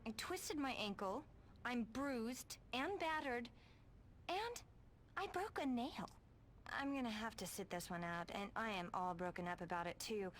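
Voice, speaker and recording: A woman's voice: a little high, a little hiss or hum, natural voice. An actress playing a spoiled, self-centred young woman in a game cutscene, sarcastic and complaining. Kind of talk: video game cutscene acting.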